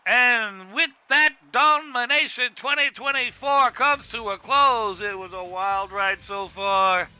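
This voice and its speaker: A man playing an excitable cartoon wrestling commentator, with music behind him. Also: very high-pitched, slow, hardly any pauses, smooth, dry recording, heavily acted. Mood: wrapping up.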